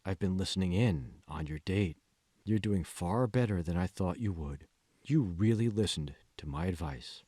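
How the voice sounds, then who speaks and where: A man voicing a smug, manipulative AI assistant in an audiobook, speaking slowly with cool, condescending control. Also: low-pitched, animated, warm tone, husky, no room sound, voice pushed down. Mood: smug, measured. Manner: slow, condescending, coolly controlling. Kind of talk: audiobook character voice.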